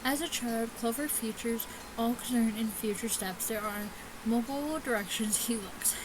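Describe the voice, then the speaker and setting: A woman with a fairly high voice hosts a casual let's play. She reads on-screen story text haltingly, tripping over words and restarting. The home recording has clear background noise. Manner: halting, stumbles while reading aloud. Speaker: gaming video host.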